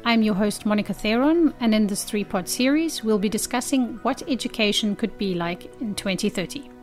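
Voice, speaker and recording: A woman's voice: mid-range voice, moderate pace, a little mellow, soft background music. A woman hosting an interview podcast, presenting scripted introductions and questions to her guests. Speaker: podcast host.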